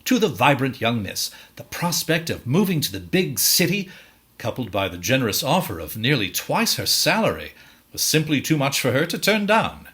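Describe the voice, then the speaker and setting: An actor as a wry storytelling narrator in a musical table read, delivering scripted narration with deliberate, theatrical phrasing. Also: male voice, medium pitch, big pitch swings, a bit bright.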